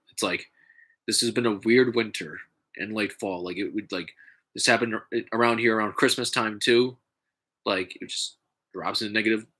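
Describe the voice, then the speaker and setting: A man talks in a casual, halting way, full of fillers and restarts. His nose sounds stuffy and congested. His voice sits fairly low but has a bright edge. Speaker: vlogger. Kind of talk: casual rambling vlog.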